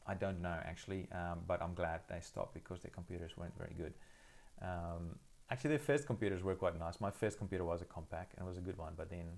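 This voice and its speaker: A man's voice: low-pitched, quick pace, creaky, a little mellow. A middle-aged man from South Africa, whose first language is Afrikaans, hosting a casual live Q&A from his phone and answering viewer questions thoughtfully, with many fillers and restarts.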